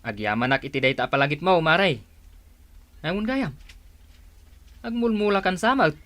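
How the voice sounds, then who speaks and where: A man's voice: a little high, animated, moderate pace, a bit bright. A man acting in an Ilocano radio drama as an earnest, agreeable village man, speaking with an Ilocano accent. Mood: friendly.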